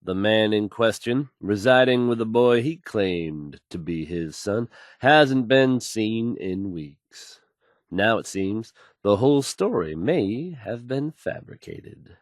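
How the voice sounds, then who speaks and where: A slick, self-assured radio DJ in a game world, his voice on the low side and recorded dry. He delivers the news with folksy, suave showmanship. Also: lively intonation, slow, no room sound. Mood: confident, slick. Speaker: radio DJ character. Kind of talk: smooth radio news patter.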